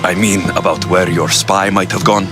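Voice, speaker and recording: A man's voice: low-pitched, steady tone, normal speed, lightly acted. A man playing a confident, wry, tough mercenary in a video game cutscene, speaking in an assertive, dry way. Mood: determined. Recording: dramatic music behind the dialogue.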